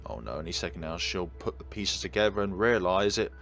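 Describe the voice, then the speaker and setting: A man's voice: sits low, animated, brisk, gravelly, fairly bright tone. A man reading a visual novel aloud as a let's play host, slipping in wry asides, with music behind him.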